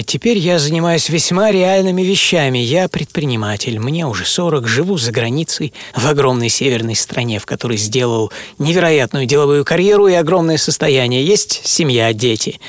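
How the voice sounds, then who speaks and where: An actor playing an obsessive middle-aged entrepreneur in a dramatic first-person radio monologue, speaking theatrically with pauses and half-whispered phrases. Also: male voice, medium pitch, dry recording, natural voice. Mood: reflective. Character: obsessive middle-aged entrepreneur and treasure hunter recalling boyhood. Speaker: author and performer of a radio programme. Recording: music under studio voice.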